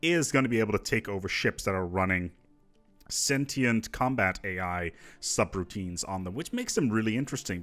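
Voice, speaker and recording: A man's voice: lowish voice, a bit bright. A man presenting casual gaming news commentary in an informal, conversational, musing manner.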